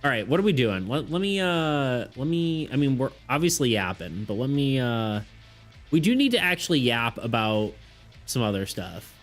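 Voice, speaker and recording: A man's voice: mid-range voice, big pitch swings, normal speed. A man chatting casually with his viewers on a live stream, rambling, restarting his sentences and laughing often. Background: faint background music.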